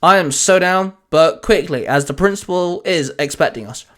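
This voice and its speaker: Friendly boy sidekick, done by a man, cheeky, upbeat, pitch raised, storybook adventure. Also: moderate pace, fairly bright tone.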